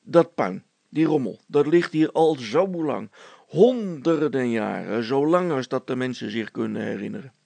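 Storybook role: male narrator as gossipy, pompous village policeman, creaky voice, hesitant and bossy, dry sound. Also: medium pitch, expressive, measured pace, dark tone, no room sound. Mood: condescending. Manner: hesitant, confiding, bossy, stammering over words. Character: gossipy, self-important village policeman, adult man.

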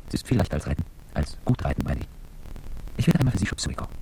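A man's voice: extremely low pitch, sing-song, racing, dark tone, poor audio. An actor playing a coolly polite, determined Chinese army horseman, giving precise, courteous instruction in a radio drama with background noise.

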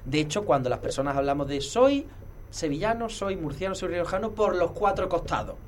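A man talks very quickly in a slightly high voice, like an informal expert guest. He explains didactically, corrects himself often and tags his points with little check-in questions. There is some ambient noise behind him. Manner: didactic, animated asides, self-correcting, checks with "¿no?". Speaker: guest expert on heraldry history.

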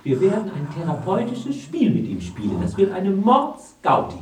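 An actress playing a gleefully malicious ringleader in a German radio drama, theatrical, commanding and falsely sweet. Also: female voice, mid-range voice, huge pitch swings, moderate pace, darkish tone, roomy sound, recorded from a distance, slight background noise. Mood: sweetly sly.

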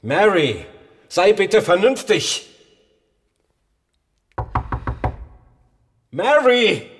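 An actor playing an impatient, controlling research boss, insistent and sardonic, in a radio play. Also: male voice, high voice, big pitch swings, slow, background noise, full character voice. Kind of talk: German radio play acting. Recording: long hall reverb.

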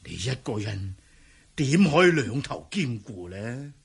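Husky, gravelly old clan elder. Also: male voice, medium pitch, very animated, unhurried, heavily acted. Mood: caring, advising. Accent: Cantonese. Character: elderly martial clan great-uncle, caring, measured, authoritative. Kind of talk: Cantonese radio drama acting.

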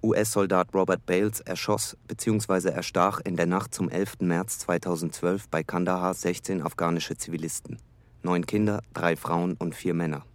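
An actor playing a neutral reporter who reads scripted facts in a detached way within a radio drama. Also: male voice, sits low, steady tone, normal speed. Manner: factual, detached.

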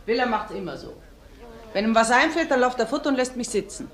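An actress playing a complaining, neglected manufacturer's wife in a radio play, chatty and peevish. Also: female voice, fairly high pitch, expressive, quick pace, lightly acted.

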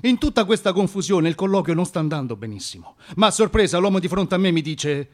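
A man with a high voice performs a comic radio monologue. He narrates in the first person, theatrical yet colloquial, like an actor telling his own tale. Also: expressive, fast.

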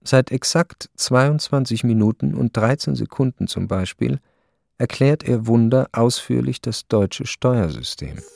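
A man narrating a radio play as a detached, precise storyteller, matter-of-fact and deadpan. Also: mid-range voice, unhurried, vocal fry, no echo, studio quality.

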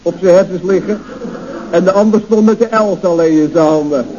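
An actor playing a chatty, blithely tactless office colleague with wry, mock-elegant phrasing in a radio comedy serial. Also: male voice, high voice, a little fast, dark tone, lightly acted. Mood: gleeful. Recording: dull, noisy old radio recording.

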